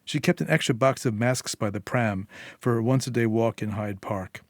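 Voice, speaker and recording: A man's voice: mid-range voice, normal speed, dry recording, studio quality. A man reading a dramatic short story as a storyteller, in scripted narration.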